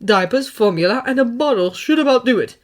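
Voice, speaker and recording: A woman reading aloud voices a polite, formal male AI butler with a courteous, measured delivery. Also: a little high, expressive, pitched above the performer's own voice, lightly acted.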